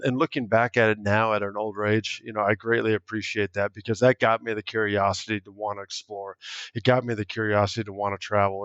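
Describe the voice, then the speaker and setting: A man's voice: lowish voice, clean tone, no room sound, dull audio. A man with an American accent who was raised partly in Germany, chatting casually and filling his talk with "you know" as a guest on a remote call. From born in Spain, raised in Germany and Nebraska. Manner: relaxed, chatty, many "you know" fillers. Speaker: interview guest, adventurer and filmmaker. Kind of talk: casual interview chat. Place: at home in Denver, recording remotely.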